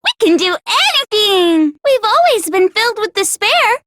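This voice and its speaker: A woman's voice: very high-pitched, big pitch swings, a little slow, a bit bright, dry recording, studio quality, exaggerated voice. A woman voicing a cutesy, flippant teenage girl villain in an anime video game, mock-innocent and breezily nihilistic.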